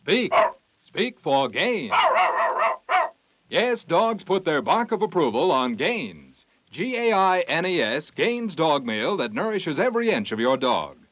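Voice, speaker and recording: A man as a commercial announcer giving an emphatic 1940s radio sales pitch. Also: big pitch swings, slow, a bit bright. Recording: muffled 1940s radio broadcast.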